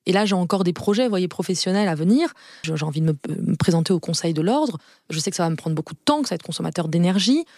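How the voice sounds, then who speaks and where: A woman in her forties speaks candidly as a guest on a podcast, her voice pitched somewhat low. She hesitates and restarts often but stays earnest. The sound is close and dry. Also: animated, fast talker, darkish tone, no echo. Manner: earnest, frank, repeats herself and hesitates with many fillers. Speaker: lawyer and law firm partner.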